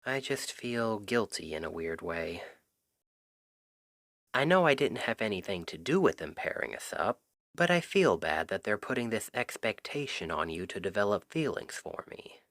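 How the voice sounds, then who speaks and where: A man acting a shy, earnest best friend in an intimate ASMR roleplay, speaking softly and haltingly. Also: lowish voice, big pitch swings, no echo, clean studio sound. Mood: guilty, uneasy. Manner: hesitant, halting, soft-spoken. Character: shy, earnest male Cupid best friend confessing love.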